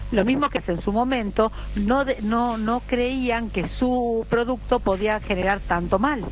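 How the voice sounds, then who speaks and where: A woman's voice: a little high, moderate pace, a little hiss or hum, low-quality recording. A woman, co-hosting radio and adding brief asides.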